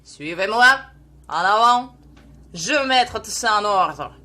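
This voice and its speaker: A half-mad man who shouts orders at everyone, put on by a woman whose first language is Russian. The voice is pushed high and very loud. It barks each phrase like a command. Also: animated, slow. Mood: ranting.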